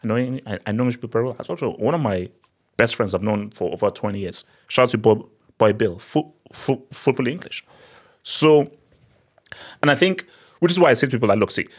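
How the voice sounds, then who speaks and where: A man in his thirties with a Nigerian accent, giving sarcastic, animated political commentary into a desk microphone in a small studio. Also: medium pitch. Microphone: desk mic on boom arm.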